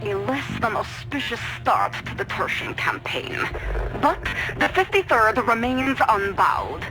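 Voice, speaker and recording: A woman's voice: a little high, big pitch swings, measured pace, low-quality recording. A woman playing a proud, zealous military commander in a video game, formal, solemn and declamatory. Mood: zealous resolve. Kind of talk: scripted video game cutscene acting. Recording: music behind the voice. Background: music.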